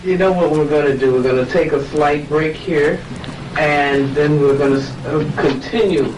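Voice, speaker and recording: A man hosting a live talk, moderating casually in a halting, informal way. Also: a little high, darkish tone, far from the mic, a little hiss or hum. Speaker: host of the talk. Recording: roomy, off-mic, music behind.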